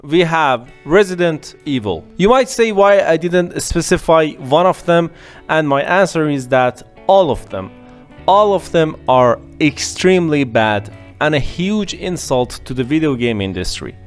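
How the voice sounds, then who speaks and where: A man hosting a podcast and giving his casual, opinionated views, animated, with pauses and restarts. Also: on the high side, faint music behind. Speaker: podcast host.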